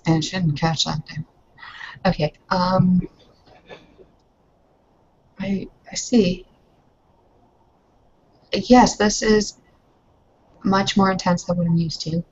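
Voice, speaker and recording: A woman with a slightly low voice plays in a tabletop roleplaying session. She speaks slowly and searches for words as she talks in character. The recording is a bit dull and lo-fi. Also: fairly even intonation, darkish tone, breathy edge.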